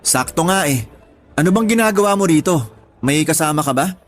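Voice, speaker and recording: A man's voice: on the high side, expressive, fairly quick, faint music behind, lightly acted. A man playing a curious, doubting young friend in a Tagalog radio drama, casual and questioning.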